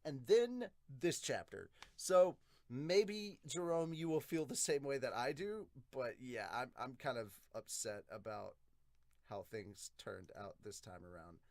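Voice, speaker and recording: A man giving a casual, blunt reaction and review as a podcast host, animated and free with swearing. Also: mid-range voice, measured pace, no room sound.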